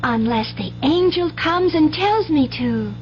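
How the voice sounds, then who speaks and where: A woman's voice: sits high, animated, a little slow. An actress playing an earnest, trusting country schoolgirl with folksy, wide-eyed speech in a radio drama. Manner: folksy rural grammar, eager and wide-eyed. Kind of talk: 1970s radio drama acting. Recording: dull, hissy old radio broadcast.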